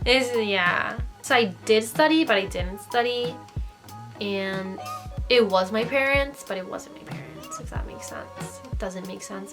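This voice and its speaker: A young woman with an American accent chats casually and informally, thinking aloud as she answers viewers' questions in a vlog. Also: on the high side. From United States and Japan. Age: young adult. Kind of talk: casual Q&A chat to camera. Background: background music.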